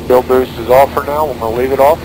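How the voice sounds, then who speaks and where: A man's voice: medium pitch, steady tone, quick pace. A man working as a pilot, making radio calls and talking himself through the flight in short procedural phrases. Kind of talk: radio calls and self-talk while flying. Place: small aircraft cockpit in flight. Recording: loud aircraft engine noise.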